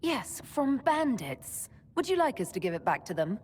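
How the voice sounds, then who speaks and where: A woman's voice: fairly high pitch, sing-song, normal speed, a little mellow, breathy edge. An actress playing a dry-witted, commanding woman leader of adventurers in a fantasy game, decisive in manner. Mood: sarcastic. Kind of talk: dramatic fantasy game acting.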